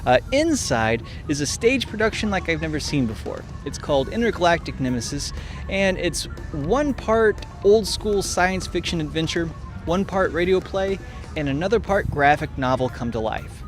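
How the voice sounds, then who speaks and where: A man with a fairly high-pitched voice presents an entertainment report in the style of an on-location reporter. Music plays faintly to clearly behind his words. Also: very animated, normal speed. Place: outdoors on location for the introduction.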